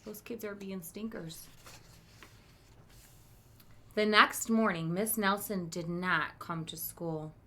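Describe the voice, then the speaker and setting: Female schoolteacher for children's read-aloud; crisp bright tone, unrushed pace, expressive narration with chatty explanations. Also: mid-range voice, slow, long pauses. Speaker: elementary school teacher.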